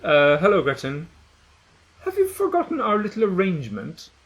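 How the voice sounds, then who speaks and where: Guy putting on a high prissy schoolgirl bully voice, sneery and posh, swings way up and down. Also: wildly swooping pitch, moderate pace, heavily acted, rough recording. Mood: sneering. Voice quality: prim, haughty, over-polite.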